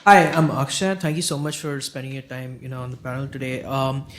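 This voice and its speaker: A man in the audience politely thanking the panel and asking a measured question. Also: medium pitch, flat delivery, fairly bright tone, a little hiss or hum. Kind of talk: question from the floor. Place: conference hall.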